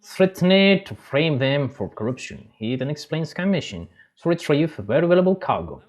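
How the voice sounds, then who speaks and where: A man hosting a gaming channel, recapping a game's story in halting non-native English and breaking off for joking asides. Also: a little high, big pitch swings. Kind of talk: game story recap with commentary.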